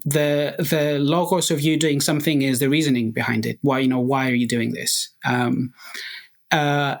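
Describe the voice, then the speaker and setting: A young man, a Greek native speaker, explaining ideas as a podcast guest, thoughtful and full of fillers and restarts. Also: a little high. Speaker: guest researcher and musician. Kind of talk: technical podcast interview.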